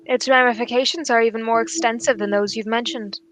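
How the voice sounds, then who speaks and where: A woman playing a shrewd, unflappable English gentleman detective in a radio drama, speaking in a measured, politely formal way. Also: on the high side, steady tone, close to an everyday voice. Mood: calm, confiding. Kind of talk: 1940s radio drama acting.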